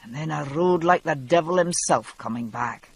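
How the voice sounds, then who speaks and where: A narrator voicing a young Scottish man who speaks quietly and matter-of-factly, gruff but gentle, in a husky voice with a Scottish accent. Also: moderate pace. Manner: low, quiet, matter-of-fact. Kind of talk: audiobook character voice.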